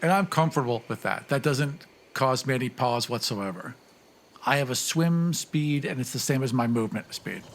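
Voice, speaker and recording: A man talks through a tabletop game in a middle-pitched voice. He sounds casual, working out his descriptions as he goes, over light background noise. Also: moderate pace. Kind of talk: casual, improvised description of play.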